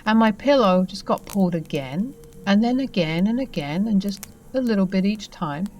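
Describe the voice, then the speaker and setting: A woman narrating a first-person ghost story in a conversational, expressive way, with dramatic emphasis and pauses. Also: mid-range voice, slight background noise, rough recording.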